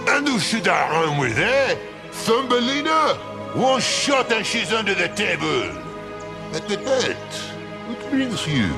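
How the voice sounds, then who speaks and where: A man voicing a hungover, cranky fairy-tale wolf in a video game, grumbling theatrically in a gravelly voice. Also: sits high, big pitch swings, measured pace, over music, full character voice. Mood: groggy, irritable. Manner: grumbling, theatrical, swoops between mutter and shout.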